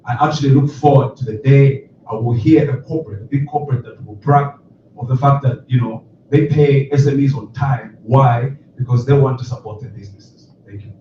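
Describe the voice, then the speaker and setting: A man's voice: mid-range voice, fairly quick, dark tone, highs cut off, a little hiss or hum. Earnest man in a very echoey hall. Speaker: entrepreneur, radio station founder. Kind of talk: audience comment at a panel. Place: conference hall. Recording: very echoey hall, distant mic.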